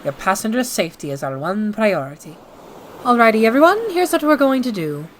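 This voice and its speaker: A woman voicing a calm, sensible female ocean liner who talks things through. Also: a little high, a little fast, a little hiss or hum. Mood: reassuring.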